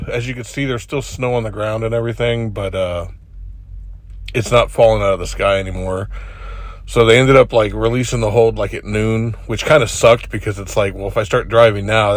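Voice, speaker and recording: A man's voice: mid-range voice, no echo. Congested man chatting casually. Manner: laid-back, joking, swears freely. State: congested with a cold. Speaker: truck driver. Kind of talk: casual driving vlog.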